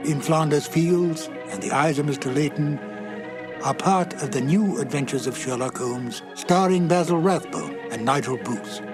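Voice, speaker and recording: Music plays clearly behind a man announcing. His voice sits fairly high, and he reads in a formal, scripted credits style. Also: low-quality recording. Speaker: announcer reading credits and copyright notice.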